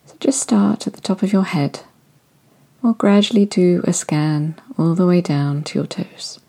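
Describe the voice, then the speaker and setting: Woman doing a slow guided meditation, low breathy voice, whispers a lot. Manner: soft, slow and soothing, often drifting into whispers. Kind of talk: guided body-scan meditation.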